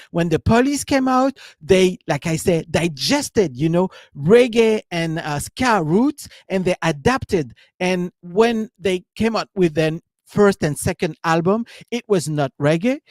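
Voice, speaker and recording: A man's voice: high-pitched, no room sound. A man with a French accent, giving a loose, rambling live-stream talk as a business coach, leaning on "you know" and "right?". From France.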